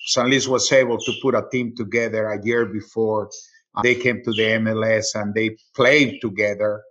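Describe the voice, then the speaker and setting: A middle-aged man from Mexico City with a Mexican accent, a native Spanish speaker, talking casually as an interview guest in a halting way with repeated words. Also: on the low side, steady tone, a bit bright, dull audio. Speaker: sports radio play-by-play announcer, interview guest.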